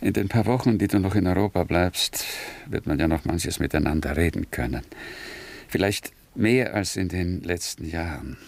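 A man's voice: sits low, moderate pace, vocal fry, darkish tone. A man acting in a 1960s German radio drama as a tired, troubled and brooding older father. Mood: weary.

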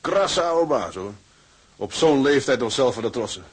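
Casting: an earnest out-of-work sailor, radio drama, plain-spoken, husky edge, mid register. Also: male voice, medium pitch, lively intonation, normal speed. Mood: relieved, cheerful. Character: earnest young Dutch seaman husband, out of work, hopeful.

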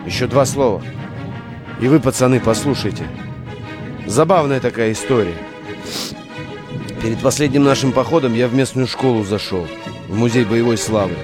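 A man's voice: medium pitch, moderate pace, long pauses, music in the background, lightly acted. A man playing a cynical but gutsy relic hunter stranded in wartime, with a wry, colloquial, commanding way of talking. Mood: earnest storytelling. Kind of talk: Russian audio drama acting.

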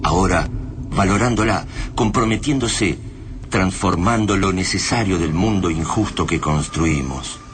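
A low, solemn male narrator voiced by an actor tells a dark invasion tale for radio. He speaks with an Argentine accent, grave and poetic, over clearly audible background noise. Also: unhurried. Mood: grave, ominous. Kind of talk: dramatic radio play narration.

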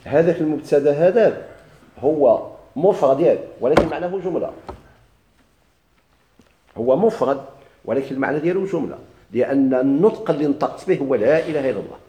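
A man with a Moroccan Arabic accent teaching Arabic grammar. He explains slowly, repeats and stretches key words, and puts questions to his students. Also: a little high, lively intonation, mellow, slight background noise. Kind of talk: recorded grammar lesson. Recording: echoey classroom recording.

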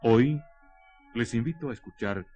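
A Chilean man narrating a scripted radio drama in a solemn, literary manner with measured phrasing. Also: mid-range voice, warm tone, lo-fi sound. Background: music.

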